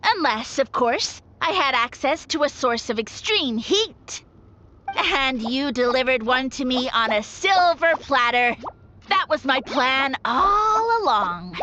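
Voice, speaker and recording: A woman's voice: sits high, very animated, slow pace, noisy background, full character voice. A woman playing a small, boastful male mushroom ex-god bent on revenge, in a pompous, theatrical game-villain manner. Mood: smug, scheming. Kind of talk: video game character acting.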